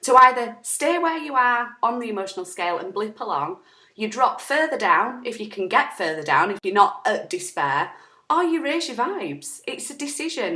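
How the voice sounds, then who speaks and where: A woman's voice: medium pitch, bright, forceful tone. A woman with a sore throat, co-hosting a casual self-help chat and talking emphatically, acting out quotes. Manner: chatty, emphatic, acts out quotes. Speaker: co-host. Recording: echoey room on an online call.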